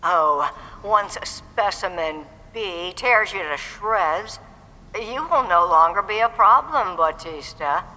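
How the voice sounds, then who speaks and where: An actor playing a cold, menacing villain, taunting enemies deliberately in an audio drama. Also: medium pitch, animated, pushed and bright, heavily acted. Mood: gloating menace. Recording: long hall reverb.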